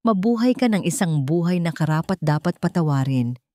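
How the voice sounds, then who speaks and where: A woman voicing an older mother who speaks calmly and with weight, in a storybook reading. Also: fairly low pitch, normal speed, no room sound, studio quality, close to an everyday voice. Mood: calm, firm. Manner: calm and weighty. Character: older mother, wounded but composed.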